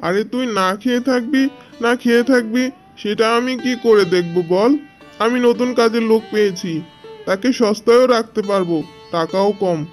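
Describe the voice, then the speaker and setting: A man's voice: high voice, normal speed, soft background music, natural voice. A man voicing a bossy, callous tigress employer in a cartoon. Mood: scolding, dismissive. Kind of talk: cartoon dubbing.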